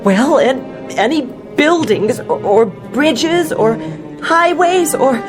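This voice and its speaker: A woman's voice: sits high, lively intonation, slow. A woman playing a polite, uneasy young flight attendant with a hesitant manner, in old-time radio drama style. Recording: dramatic music underneath.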